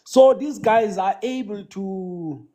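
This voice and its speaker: A South African man whose first language is Xhosa, calling in to a casual live chat and talking haltingly, with many restarts and fillers. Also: high-pitched, warm tone. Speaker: caller.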